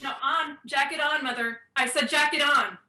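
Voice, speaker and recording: Heard from off mic in an echoey room, a woman acts out an uptight, exasperated grown daughter in a stage play. The voice is very high, with clipped, protesting delivery. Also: moderate pace, pushed and bright, recorded from a distance. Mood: fed up. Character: exasperated, uptight adult daughter and busy mother.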